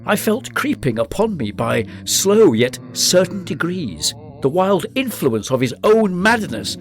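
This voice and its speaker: A man acting a solemn, melancholy gentleman narrator in a literary gothic radio drama. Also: high voice, sing-song, measured pace, slight background noise, exaggerated voice. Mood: mounting dread. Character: melancholy gentleman narrator recalling his friend's descent into madness.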